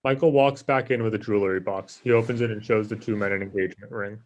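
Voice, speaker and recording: A man's voice: fairly low pitch, steady tone, fast talker. A man narrating stage directions for a scripted table read.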